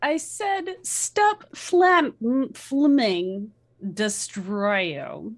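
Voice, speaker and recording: A woman playing a bratty, defiant teenage author, reading out notes in a halting, emphatic way. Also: on the high side, exaggerated voice.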